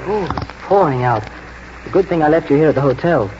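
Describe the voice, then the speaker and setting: A man playing an earnest, protective young horse trainer in a 1940s radio drama, urgent and halting as he instructs. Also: on the high side, lively intonation, close to an everyday voice. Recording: muffled, noisy old 1940s radio broadcast.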